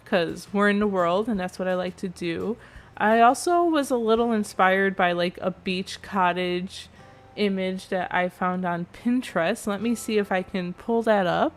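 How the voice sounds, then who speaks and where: A young woman giving a rambling, chatty commentary over a game build, sounding a little nasal from allergies. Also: medium pitch. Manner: chatty, rambling, self-correcting. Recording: faint background music.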